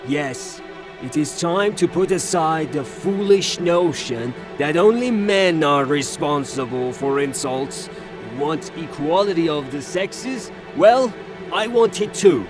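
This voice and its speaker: An actor playing a hot-tempered, blustering rustic gentleman in a theatrical audio drama, declaiming his lines. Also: fairly high pitch, lively intonation, slow pace, music in the background, rough recording. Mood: indignant, challenging.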